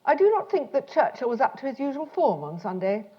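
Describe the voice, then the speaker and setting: A woman's voice: high-pitched, very animated, fairly quick, husky. A woman reading an old family letter aloud. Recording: dull, muffled talk recording.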